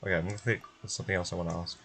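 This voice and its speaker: A man streaming a game, giving casual, low-key commentary as he thinks aloud. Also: fairly even intonation, very fast, gravelly, fairly bright tone, a little hiss or hum.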